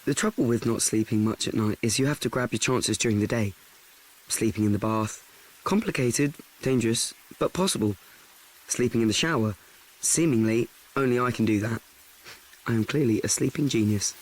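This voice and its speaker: An actor as a wry, mid-pitched teenage boy. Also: normal speed, lightly acted, low-quality recording. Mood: wry, confiding. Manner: deadpan, confiding, self-deprecating. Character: wry, awkward, frank teenage boy narrating his life. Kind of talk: first-person radio play narration.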